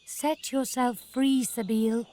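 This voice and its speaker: An actress playing a gentle freed elf in a fantasy video game, with earnest, formal, measured speech. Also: female voice, a little high, fairly even intonation. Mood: grateful, earnest.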